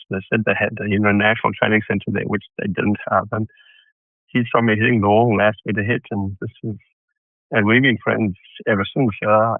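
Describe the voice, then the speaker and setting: A man's voice: on the low side, no room sound. A man from South Africa, a tennis coach guest on a podcast, telling long stories about his start in the sport with restarts and asides. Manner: rambling storyteller with restarts. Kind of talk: casual interview answers. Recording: tinny phone-like line, dry.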